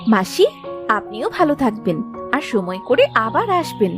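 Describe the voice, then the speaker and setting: A woman's voice: on the high side, big pitch swings, normal speed. A woman playing a caring, dutiful young village housewife in a cartoon fairy tale, respectful and attentive. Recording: faint music behind.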